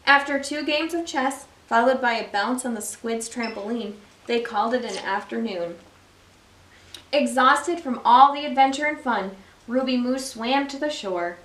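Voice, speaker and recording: A woman reading a children's storybook aloud as a library story time host, with expressive, deliberate phrasing for young listeners. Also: on the high side. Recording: roomy echo, distant microphone.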